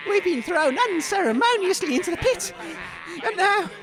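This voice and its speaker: Man playing an excitable, very high-pitched broadcaster. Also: moderate pace, crowd noise. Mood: dramatic. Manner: melodramatic commentary. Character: excitable, nervous male radio broadcaster narrating his own peril. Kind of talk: comedy audio drama acting.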